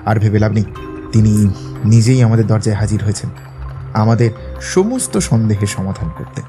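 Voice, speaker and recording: An actor playing a shrewd, self-assured male detective in an audio drama, speaking in a measured, analytical way. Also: lowish voice, lively intonation, music in the background. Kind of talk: Bengali audio drama acting.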